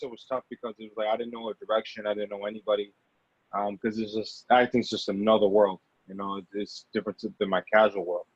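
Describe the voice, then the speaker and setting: A man's voice: on the low side, no room sound. Man in dry, slightly muffled audio. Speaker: podcast guest, actor. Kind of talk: casual interview talk.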